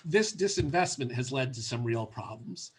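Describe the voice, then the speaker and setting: A man's voice: mid-range voice, lively intonation, moderate pace, breathy edge. A man with a cold, sounding stuffy, giving a conversational webinar presentation with frequent ums and uhs. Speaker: policy presenter.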